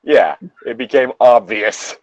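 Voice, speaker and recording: A man's voice: mid-range voice, unhurried, no echo, highs cut off. A man co-hosting a casual comic read-aloud, swearing freely and commenting in an incredulous, easily amused way. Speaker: podcast co-host reacting to a story.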